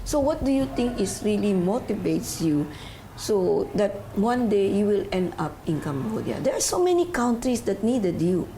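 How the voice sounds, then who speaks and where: A woman's voice: mid-range voice, very animated, moderate pace, warm tone, a little hiss or hum, low-quality recording. A middle-aged woman hosting a television interview, effusive, with loose grammar and frequent "you know". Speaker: talk show host.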